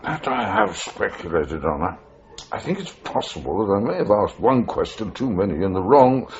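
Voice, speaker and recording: An actor playing a sly, self-satisfied British freelance journalist in a 1980s radio drama, evasive in manner. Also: male voice, fairly low pitch, very animated, normal speed, a little hiss or hum. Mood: guarded, cagey. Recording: dull, band-limited radio broadcast.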